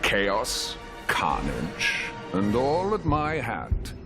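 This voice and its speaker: An actor playing an imperious, gloating conqueror villain in a video game, pompous and mock-fond, with loud music behind. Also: male voice, on the low side, wildly swooping pitch, extremely slow, full character voice. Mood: smug gloating.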